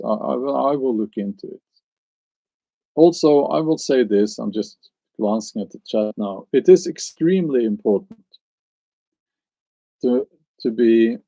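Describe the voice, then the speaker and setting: A man's voice: on the low side, gravelly, mellow, no room sound, rough recording. A man with a Norwegian accent, chatting on a live stream and answering his viewers, hesitant and musing as he searches for words. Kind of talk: casual live stream chat.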